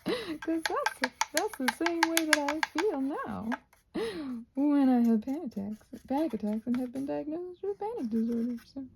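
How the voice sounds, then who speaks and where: Soft, creaky-voiced woman rambling casually. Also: high-pitched, a little hiss or hum. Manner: soft-spoken, often dropping to a whisper; musing aloud, self-reassuring. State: short on sleep. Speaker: craft vlogger and ASMR host. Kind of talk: casual rambling craft chat.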